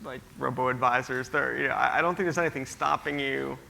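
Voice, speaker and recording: A man's voice: mid-range voice, steady tone, a little fast, vocal fry, sharp tone, a little hiss or hum, low-quality recording. A man moderating a conference panel, answering questions in a hesitant way with many fillers and restarts. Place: conference hall.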